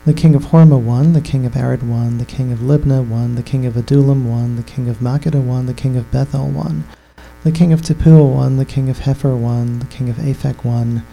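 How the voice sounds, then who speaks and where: A man reading scripture aloud in a steady, monotone listing voice. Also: mid-range voice, brisk, gravelly, hardly any pauses, faint music behind, poor audio.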